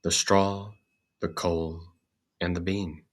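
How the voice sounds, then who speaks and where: A man reading a scripted storybook tale as host and narrator, in a measured, deliberate manner. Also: deep voice, little pitch movement.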